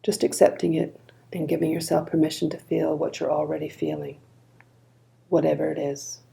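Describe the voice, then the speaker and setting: A woman leading a guided body scan meditation in a calm, gentle, unhurried manner. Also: low-pitched, gravelly, rough recording.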